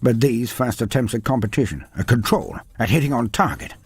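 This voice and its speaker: A man's voice: medium pitch, animated, brisk, a little mellow, slightly rough. A man narrating a humorous story in a dry, wry manner, breaking off into playful sidebars. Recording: faint music behind narration.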